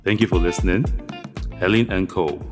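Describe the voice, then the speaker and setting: A man's voice: deep voice, moderate pace. A man delivering a scripted outro announcement for a series. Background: guitar music.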